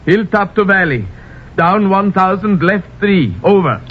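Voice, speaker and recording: A man's voice: high voice, animated, unhurried. A man playing an earnest, anxious resistance ally in old-time radio style, speaking with formal, careful phrasing. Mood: formal, worried. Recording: muffled, hissy old radio recording.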